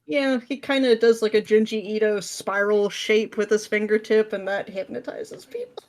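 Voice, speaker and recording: A woman's voice: medium pitch, fairly even intonation, moderate pace, a little mellow. A woman talking as a podcast guest in an informal, wry, joking conversational manner. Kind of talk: casual chat.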